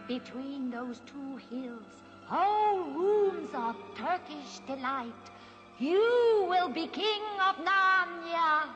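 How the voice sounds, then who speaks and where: Scheming temptress queen, unhurried, luring, music behind, fantasy audio drama. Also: extremely high pitch, lively intonation, fairly bright tone, long reverb tail, muffled recording, heavily acted. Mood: luring, sinister.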